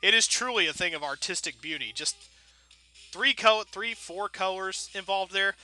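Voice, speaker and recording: A man with a very bright, forward voice on the higher side hosts a gameplay video. He talks casually and rambles playfully, tossing in folksy mild exclamations. Game music plays behind him. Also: normal speed.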